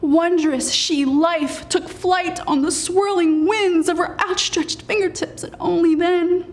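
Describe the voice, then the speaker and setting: Woman doing spoken word poetry, really high voice, super slow n solemn, lots of heavy pauses. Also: darkish tone. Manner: deliberate, solemn, with weighted pauses. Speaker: poet.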